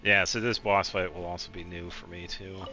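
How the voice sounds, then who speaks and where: Male game streamer, low pitch, forward bright tone, casual rambling commentary, thinking out loud, light game music underneath. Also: little pitch movement, moderate pace. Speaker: streamer playing a video game. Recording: game music faintly behind.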